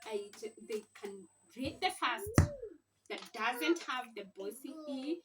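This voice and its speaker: A woman with a high voice gives an informal lesson for parents, speaking slowly and deliberately. She repeats and stresses points like a teacher. She sounds some way from the microphone, recorded at home with a faint noise behind her. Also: fairly bright tone. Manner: deliberate, repetitive, emphatic teacherly delivery. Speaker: parent teaching early reading, video host. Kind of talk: informal instructional explanation to camera. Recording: distant mic, faint background noise, clicks.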